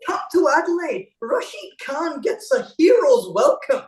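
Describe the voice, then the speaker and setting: A man born in India who grew up in Australia, speaking with an Australian accent as co-host of a casual chat, animated and teasing. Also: very high-pitched, measured pace, roomy sound, distant mic. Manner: animated, teasing, repeats words when restarting.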